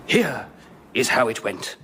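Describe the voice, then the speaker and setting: An actor playing a fussy British gentlemen's club member, dramatically recounting an eerie story in radio comedy. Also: male voice, medium pitch, big pitch swings, takes it slowly, exaggerated voice. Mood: mysterious, earnest. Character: tall lean moustached clubman recounting eerie story. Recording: noisy live audience recording.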